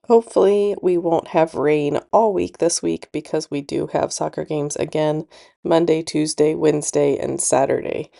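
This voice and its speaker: A woman's voice: low-pitched, steady tone, normal speed, vocal fry, dark tone. A middle-aged woman with a congested voice that is starting to go, hosting a casual plan-with-me voice-over and thinking aloud as she explains. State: congested, losing voice. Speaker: planner channel host.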